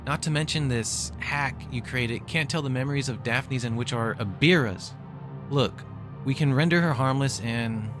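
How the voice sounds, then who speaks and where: Principled engineer played by a man, radio drama, mid-pitched, reasonable and conversational, clear music behind. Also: natural voice. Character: earnest, principled male engineer, reasoning and exasperated.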